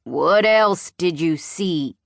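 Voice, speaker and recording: A woman voicing a cruel father in an audiobook, speaking softly and slowly with quiet menace. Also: fairly low pitch, little pitch movement, smooth, no room sound, studio quality. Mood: menacing. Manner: soft, slow, quietly menacing.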